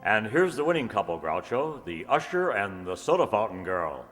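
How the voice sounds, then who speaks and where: A man playing a polished old-time radio announcer and pitchman, in a reverberant hall with an audience. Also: big pitch swings, normal speed, loud music behind the voice. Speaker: show announcer. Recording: echoey hall with audience noise.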